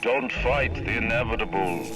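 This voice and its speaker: An actor playing a middle-aged, cold and proud industrialist ruler in a video game, speaking in formal, pompous, deliberate monologues. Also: male voice, medium pitch, loud music behind the voice, close to an everyday voice, poor audio. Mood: taunting menace. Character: imperious male industrialist ruler of an undersea city, cold and proud.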